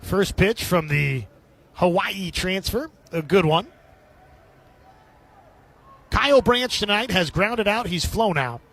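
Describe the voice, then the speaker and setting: A man calling baseball play-by-play as live radio sports commentary, with a husky edge to his voice. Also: high voice, lively intonation, slow, long pauses, fairly bright tone.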